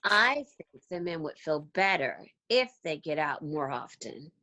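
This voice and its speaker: A woman's voice: sits low, sing-song, unhurried, bright, forceful tone, full character voice. A woman playing a spirited, wistful follower of Jesus in a church dramatic reading.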